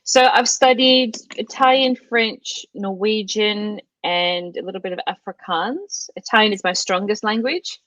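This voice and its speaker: A woman's voice: mid-range voice, unhurried, hardly any pauses, a bit bright, no echo, lo-fi sound. A middle-aged Australian woman chatting casually as an interview guest, with lots of fillers and restarts. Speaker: guest, language course creator.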